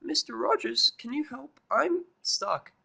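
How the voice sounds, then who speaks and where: A man voicing a sweet, cheerful, earnest cartoon pony mare in a cutesy falsetto for a fan audio drama. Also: animated, a little slow, gravelly, bright, forceful tone, slightly rough, exaggerated voice. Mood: pleading. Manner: pleading, gushing, earnest.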